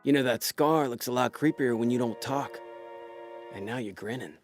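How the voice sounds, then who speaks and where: Male con man played by an actor, noir audio drama. Cocky, slangy, wry, mid-pitched, music underneath. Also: normal speed, close to an everyday voice. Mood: cocky, threatening. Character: laid-back small-time con man, cocky, smooth-talking tough.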